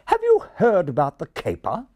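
A man's voice: sits high, wildly swooping pitch, normal speed, mellow, no echo, lo-fi sound, voice pushed up, heavily acted. A man voicing a proud English pigeon in a storybook, with a refined English accent and a proper, condescending manner. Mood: conspiratorial, earnest.

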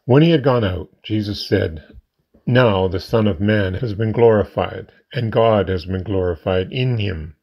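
A man's voice: fairly low pitch, unhurried, vocal fry. A man reading scripture aloud solemnly, on a muffled recording. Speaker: scripture reader.

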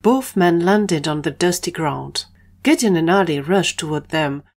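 A woman with a slightly low voice acts as narrator. She reads scripted prose for an audio drama. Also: unhurried, darkish tone.

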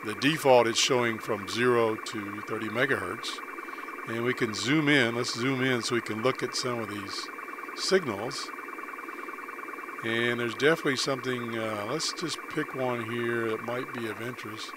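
A man presenting a casual, unscripted screen-capture tutorial as a hobbyist, speaking haltingly with pauses in the middle of sentences. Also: medium pitch, background noise. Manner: halting, pauses mid-sentence, often clears throat.

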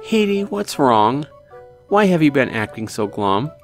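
A man's voice: mid-range voice, sing-song, takes it slowly, soft background music, pitched above the performer's own voice. A man voicing a doting, fussing middle-aged mother in a visual novel, cajoling and theatrical. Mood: fussing, concerned.

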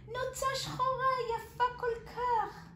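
A woman's voice: extremely high pitch, level tone, echoey room, noisy background, pitched above the performer's own voice. A woman voicing a small, cheerful, curious male gnome in a storybook, asking friendly, eager questions. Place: indoor living room, seated in armchair.

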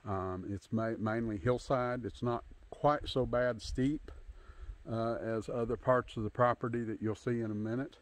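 A man in his fifties giving a casual, unscripted tour outdoors on a handheld phone, pausing often as he talks. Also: mid-range voice, fairly even intonation, no echo. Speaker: homesteader hosting a channel. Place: outdoors in bare winter woods. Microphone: handheld phone at arm's length.

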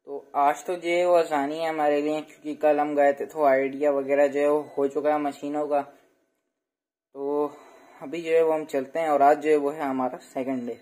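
A man's voice: a little high, level tone, moderate pace, mellow. A young man talking to camera in a casual home vlog, chatty and full of filler phrases, filmed on a phone held close. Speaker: vlogger. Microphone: phone held close, selfie framing.

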